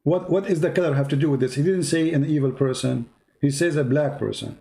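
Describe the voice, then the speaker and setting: Insistent lecturing man, higher voice. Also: fairly quick, creaky, dark tone. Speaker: host. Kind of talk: heated call-in debate.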